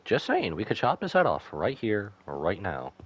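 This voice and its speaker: A man's voice: on the low side, wildly swooping pitch, normal speed, a bit bright, slightly rough. A man giving casual live reactions as a game streamer, slangy and irreverent, talking back to the game.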